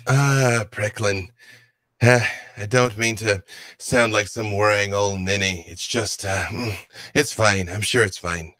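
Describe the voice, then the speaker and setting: A man's voice: on the low side, steady tone, slow pace, a bit bright, dry recording, clean studio sound. A man voicing an anxious, winged woman warrior in armor during a tabletop game, talking hesitantly and trailing off. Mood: fretful. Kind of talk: tabletop roleplay in character.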